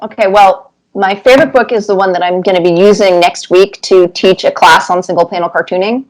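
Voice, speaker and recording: A woman's voice: medium pitch, steady tone, brisk, poor audio. Woman in her fifties, thoughtful storyteller. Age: 50s. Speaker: cartoonist guest being interviewed. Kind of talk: casual interview answers.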